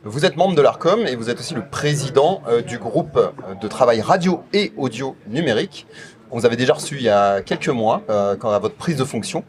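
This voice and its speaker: A man interviewing a guest, putting semi-prepared questions in a halting way with repeated restarts. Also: mid-range voice, expressive.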